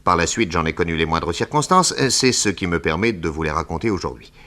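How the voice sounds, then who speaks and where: A man narrating a scripted 1960s French radio drama in a measured storytelling manner. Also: low-pitched, lively intonation, bright, forceful tone.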